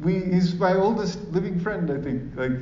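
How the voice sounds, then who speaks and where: A man's voice: high voice, lively intonation, normal speed, mellow, a little hiss or hum. A man from Sri Lanka giving an informal talk as a speaker, in a conversational manner that keeps checking for agreement. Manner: conversational, often seeks agreement with tag questions. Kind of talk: informal public talk with audience questions. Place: echoey room in front of an audience. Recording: roomy, dull, distant microphone recording.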